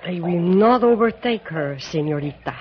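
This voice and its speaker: A woman's voice: lowish voice, expressive, moderate pace, natural voice. A woman playing a grave, pessimistic guide with a formal, foreboding manner in an old radio drama. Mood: ominous. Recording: muffled, noisy vintage radio recording.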